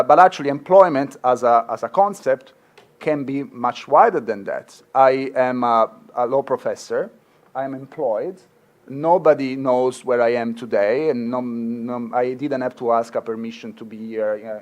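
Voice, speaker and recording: A man's voice: mid-range voice, moderate pace. A middle-aged man with a marked Italian accent, a law professor speaking earnestly at a conference, with frequent fillers and restarts. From Italy. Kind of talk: conference talk.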